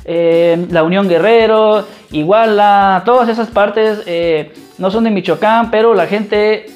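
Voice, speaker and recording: A man from Mexico with a Mexican accent, chatting casually as a vlogger in an informal, halting, repetitive way. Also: high voice, muffled recording, soft background music. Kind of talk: casual chat answering viewer questions.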